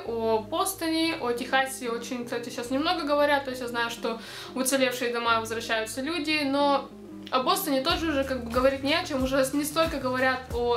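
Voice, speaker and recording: A woman's voice: sits high, fairly bright tone. A young woman, chatting casually to camera in a rambling way, full of fillers and light laughs. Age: young adult. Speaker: vlogger. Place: dark indoor room. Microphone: camera close to face. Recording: echoey room with music behind.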